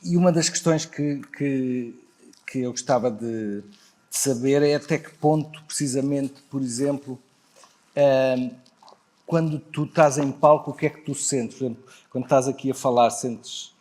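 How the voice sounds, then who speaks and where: Male interviewer, European Portuguese accent, medium pitch. Semi-formal, hesitant phrasing with frequent pauses. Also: a little mellow. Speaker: interview host. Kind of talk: semi-formal live stage conversation.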